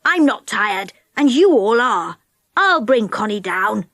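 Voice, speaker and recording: A woman's voice: mid-range voice, big pitch swings, slow, a bit bright, no room sound, studio quality, full character voice. A woman voicing a brave, protective male fairy-folk friend in a children's story, speaking firmly. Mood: resolute.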